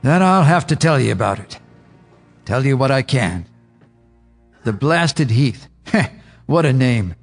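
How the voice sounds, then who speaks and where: A raspy old farmer telling a tale. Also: male voice, medium pitch, very animated, a little slow, pauses often, soft background music, full character voice. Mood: gruff wary. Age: older. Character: old rural farmer Amy Pierce, gruff storyteller. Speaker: witness telling the story. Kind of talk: radio drama acting.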